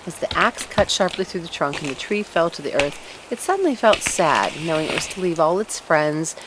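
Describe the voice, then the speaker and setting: Expressive woman storyteller, lowish lively voice. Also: a little hiss or hum, rough recording. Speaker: storybook narrator. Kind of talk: expressive read-aloud narration for children.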